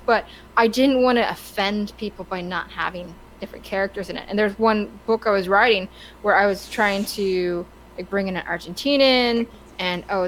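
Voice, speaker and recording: A woman's voice: mid-range voice, fairly bright tone, slight background noise, low-quality recording. A woman chatting casually and giving her opinions on a podcast, stammering and restarting her sentences often. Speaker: podcast co-host.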